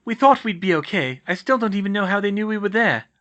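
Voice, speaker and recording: A man's voice: high-pitched, expressive, fast talker, fairly bright tone, dull audio, voice pushed up. A man voicing an earnest, anxious but determined young witch in a storybook, speaking with urgency. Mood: worried.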